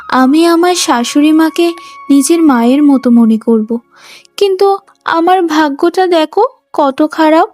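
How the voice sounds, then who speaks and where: A very high voice carries this gentle young daughter-in-law , acted by a woman. She speaks slowly and pleadingly, with sentimental devotion suited to a cartoon family drama. Light music plays behind her. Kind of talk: emotional cartoon story acting.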